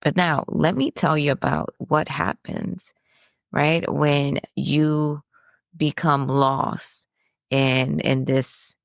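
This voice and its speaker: A woman from the Dominican Republic hosts her own podcast, sharing a personal reflection with earnest conviction. Her voice is low and gravelly, and she takes her time. The sound is close and dry, with no room around it. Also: dry recording. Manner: earnest, preachy, asks rhetorical questions. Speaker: podcast host.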